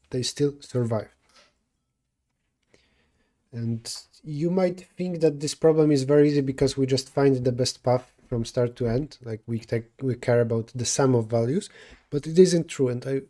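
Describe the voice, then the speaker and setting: Mellow-voiced Polish man thinking aloud. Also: mid-range voice. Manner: thinking aloud, self-correcting mid-sentence. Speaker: streamer solving coding problems. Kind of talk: live coding stream, answering chat.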